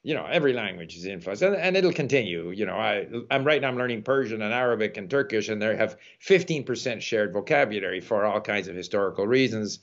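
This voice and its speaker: A man's voice: lowish voice, lively intonation, fast, hardly any pauses. A man interviewing a guest in a casual conversation, talkative and musing, often saying "you know". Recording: dull lo-fi sound.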